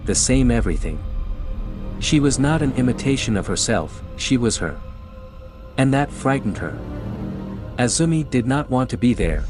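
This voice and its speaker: Male narrator, Mexican accent, Spanish native, mid-pitched, measured horror reading over faint music.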